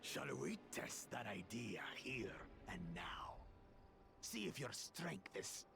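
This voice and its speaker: A man's voice: mid-range voice, very animated, takes it slowly, gravelly, background noise, exaggerated voice. An actor voicing a menacing male challenger in a game cutscene, taunting and threatening.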